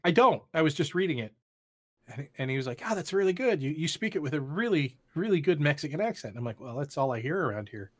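A man's voice: fairly high pitch, very animated, quick pace, husky. A man co-hosting a podcast, talking casually and rambling with frequent restarts and self-corrections. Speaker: podcast co-host. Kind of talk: casual chat.

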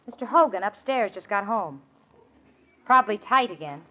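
An actress playing a sensible, exasperated young roommate in a 1940s radio comedy, with a wry, theatrical delivery. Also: female voice, medium pitch, expressive, moderate pace, natural voice. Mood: dry, sarcastic. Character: exasperated young woman roommate, sensible, sarcastic, long-suffering. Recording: muffled, noisy old radio broadcast recording. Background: studio audience, hiss.

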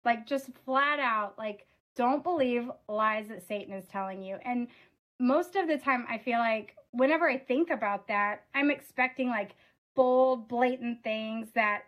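A woman with a slightly raspy voice, a ministry leader answering interview questions in an earnest, casual way over a remote call, with fillers like "oh my gosh". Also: a little high, unhurried, fairly bright tone.

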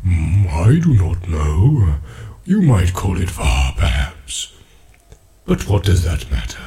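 A man voicing an ancient, slow male tree-giant with a deep rumbling voice, musing and humming between his words. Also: huge pitch swings, mellow, heavily acted. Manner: slow, musing, full of hums and pauses. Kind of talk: audiobook character reading.

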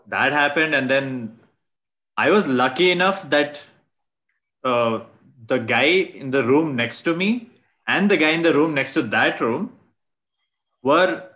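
A young man from Bangalore, telling stories in a relaxed casual chat about his student days. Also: medium pitch. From Bangalore, India. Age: young adult.

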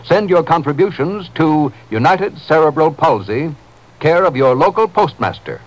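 Male vintage radio announcer for charity appeal: fairly high voice, very animated delivery, earnest and emphatic. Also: normal speed, dull audio. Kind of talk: scripted 1950s radio appeal.

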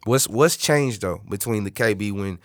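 A man's voice: fairly low pitch, normal speed, no echo, clean studio sound. A man interviewing a rapper, asking laid-back, teasing questions in slang. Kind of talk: casual interview chat.

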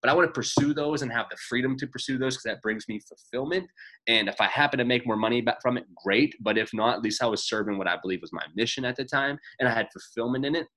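A man's voice: medium pitch, fast talker, sharp tone, low-quality recording. A man in his late twenties in casual conversation, blunt and rambling, swearing freely as he makes his points. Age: 20s. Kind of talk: casual podcast chat.

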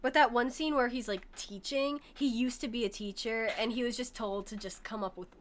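A young woman co-hosting a casual podcast chat, excitable and laughing often. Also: a little high, fairly quick. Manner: giggly, excitable, lots of laughter. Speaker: podcast co-host.

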